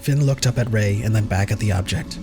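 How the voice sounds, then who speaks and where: A man's voice: on the low side, a little fast. A man narrating a fan audio drama in a scripted, dramatic storybook style. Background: prominent music.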